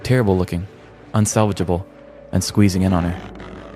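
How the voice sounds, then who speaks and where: Low-voiced American man telling comic stories. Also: steady tone, moderate pace, mellow, soft background music. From United States. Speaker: podcast host. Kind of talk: scripted comedic story narration.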